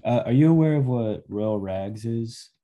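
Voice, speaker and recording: A man hosting a podcast, relaxed in his talk and joking easily with his guest. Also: medium pitch, measured pace, muffled recording. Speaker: podcast host. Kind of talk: casual chat.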